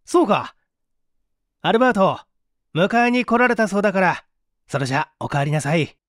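Man playing an earnest, high-pitched questioning schoolteacher. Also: very animated, normal speed, fairly bright tone, no room sound, clean studio sound. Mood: brisk. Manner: insistent, probing questions.

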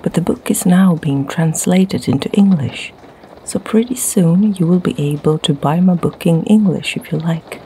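A middle-aged woman from Sweden with a Swedish accent, whispering ASMR stories softly and slowly in her home library. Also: on the low side, slight background noise, poor audio. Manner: soft, slow whisper. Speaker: ASMR creator and storyteller.

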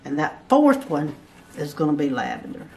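A woman's voice: low-pitched, wildly swooping pitch, normal speed, gravelly, a little mellow. A woman giving a step-by-step barn quilt pattern tutorial at a table, patiently counting blocks aloud. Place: at a table over a paper pattern, indoors.

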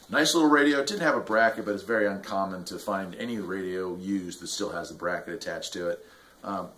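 A man's voice: on the low side, a bit bright. A man in his forties from the United States, talking casually to camera as he reviews gear in a small workshop. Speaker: vlogger reviewing radio gear. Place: small indoor radio workshop.

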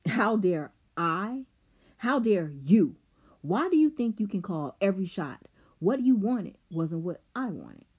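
A woman's voice: fairly low pitch, very animated, measured pace, dark tone. A woman voicing a clever, scheming teenage boy who talks back coldly. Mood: defiant.

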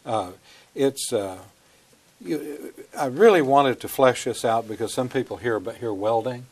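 A man's voice: medium pitch, a little slow, darkish tone, slightly rough. An older man hosting a studio interview on a lapel mic, friendly and leading his guests with questions. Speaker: host. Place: small TV studio.

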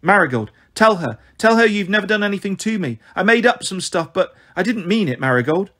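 An anxious young storybook girl, acted by a man, pleads in a husky, higher voice. She sounds urgent and needy, desperate to be believed. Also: animated, fairly quick, bright, forceful tone, full character voice.

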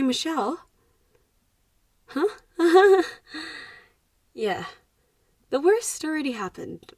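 A woman's voice: a little high, dry recording, distant mic. A woman voicing an anxious, protective popular vampire schoolgirl in an audio roleplay, hesitant and reassuring as she speaks to the listener. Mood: hushed, confiding.

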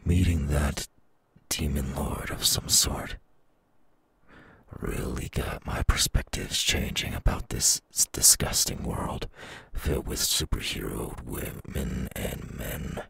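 A man voicing a menacing, gloating young demon villain in an ASMR audio roleplay, with a husky, breathy, gravelly voice. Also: very deep voice, lively intonation, slow, a bit bright, heavily acted. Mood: smug, scornful.

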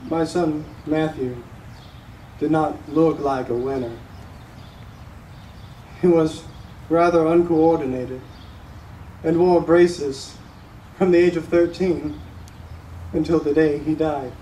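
A young man playing a solemn, resolute grieving father addressing a court in a stage monologue, with heavy pauses. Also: on the high side, steady tone, dark tone. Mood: solemn grief. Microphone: distant camera microphone. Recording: roomy, noisy, recorded from far off.

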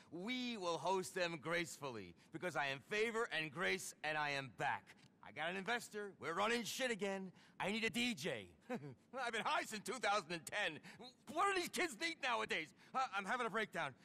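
High-strung, flamboyant middle-aged club owner. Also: male voice, very animated, moderate pace, creaky, sharp tone, full character voice. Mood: ranting, manic. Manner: theatrical, ranting, foul-mouthed. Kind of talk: video game cutscene acting.

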